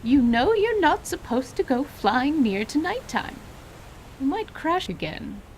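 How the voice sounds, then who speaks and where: A woman's voice: high-pitched, big pitch swings, a little slow, slight background noise, poor audio. A woman playing an elderly, teasing, fondly scolding grandmother in radio theater, with quaint, folksy, theatrical phrasing. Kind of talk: dramatized radio theater acting.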